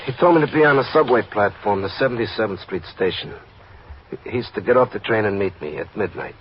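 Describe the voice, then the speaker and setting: An actor playing a distraught, stubbornly loyal middle-aged husband in an old-time radio drama, pleading and insistent. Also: male voice, fairly low pitch, fairly quick, natural voice. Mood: pleading, subdued. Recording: dull, hissy old radio recording.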